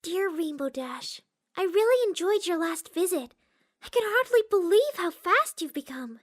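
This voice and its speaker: A woman voicing a gentle, timid young female cartoon pony, reading a letter aloud with sweet hesitancy. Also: very high-pitched, very animated, normal speed. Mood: sweet, admiring.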